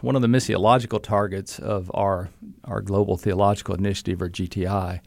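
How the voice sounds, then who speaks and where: A man's voice: fairly low pitch, gravelly, no room sound, clean studio sound. A man hosting a podcast interview as a seminary professor, talking in a friendly, easygoing way and often restarting his phrases.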